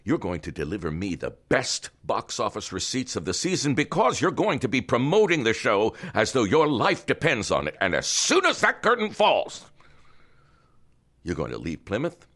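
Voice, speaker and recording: An actor playing a cold, controlling older man in an audio drama, threatening and swinging from hushed to loud. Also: huge pitch swings, moderate pace, full character voice. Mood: furious. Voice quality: gravelly, very deep. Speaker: Doctor Barrows, wealthy older power broker.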